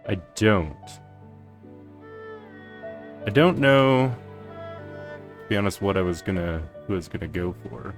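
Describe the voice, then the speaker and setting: A man's voice: low voice, lively intonation, takes it slowly, pauses often. A man doing casual game commentary as a streamer, chatting in an informal, rambling way. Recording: faint game music behind.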